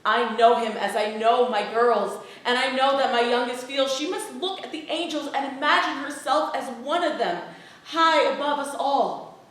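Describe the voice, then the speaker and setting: A woman playing a pious, guilt-ridden Puritan wife and mother, delivering an earnest, impassioned stage monologue. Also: on the high side. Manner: earnest, impassioned, swelling from hushed to loud. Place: playhouse stage with live audience. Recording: distant mic in very echoey hall.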